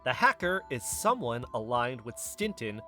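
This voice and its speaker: A man's voice: medium pitch, very animated, measured pace, sharp tone, faint music behind. A man playing a guarded, argumentative investigator in a scripted podcast drama. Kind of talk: scripted audio drama dialogue.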